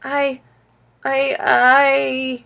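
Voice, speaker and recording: A woman's voice: high voice, animated, moderate pace, a bit bright, voice pushed up. A woman voicing an earnest, easily upset young boy in a children's audiobook, pleading and prone to stammering. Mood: tearful, flustered.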